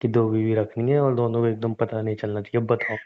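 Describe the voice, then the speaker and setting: A man's voice: lowish voice, little pitch movement, fast talker, narrow, tinny audio, lightly acted. A man playing a teasing, exasperated husband in a casual, conversational domestic audio drama. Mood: teasing, argumentative. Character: teasing, exasperated husband bickering with his injured wife. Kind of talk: improvised-sounding domestic audio drama, casual Hindi banter.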